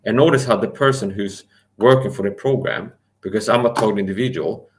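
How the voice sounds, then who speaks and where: A man with a very low, deep voice holds forth in an angry, defiant livestream rant. He swears freely, repeats himself and challenges his listeners directly. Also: brisk. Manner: angry, defiant, profane, repetitive. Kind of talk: ranting live monologue.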